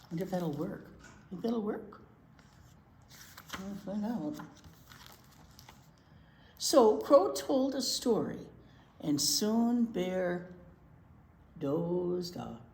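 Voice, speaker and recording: A woman reads a children's story aloud with big, lively swings in pitch and an unhurried pace. She is expressive and chatty, putting questions to young listeners. The room is echoey. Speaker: children's library teacher reading aloud. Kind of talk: animated picture-book read-aloud for young children. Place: indoor room at a library. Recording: roomy echo, noticeable background noise.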